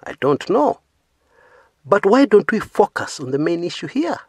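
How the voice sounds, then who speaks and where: Male commentary host from Kenya, second-language English, earnest and emphatic, animated intonation, slightly husky. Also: high voice, normal speed, dry recording, clean studio sound. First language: not English. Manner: earnest, emphatic, frequent "yeah" tags, rising stresses on key words. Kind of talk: opinion commentary monologue.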